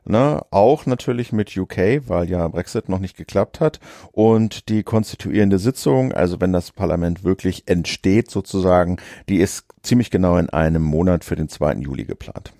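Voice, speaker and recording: Tiefe, knarzige Männerstimme, trocken aufgenommen. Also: male voice, low voice, moderate pace, creaky, no room sound, studio quality. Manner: relaxed, conversational, frequent fillers. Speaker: podcast co-host, journalist. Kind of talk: casual political podcast talk.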